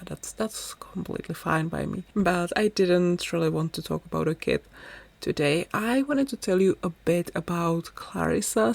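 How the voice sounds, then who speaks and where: A woman's voice: on the low side, creaky, darkish tone. A young woman whose first language is Czech, chatting in a rambling, self-deprecating way as she talks over her gaming video. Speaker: gaming YouTuber. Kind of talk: casual voice-over commentary.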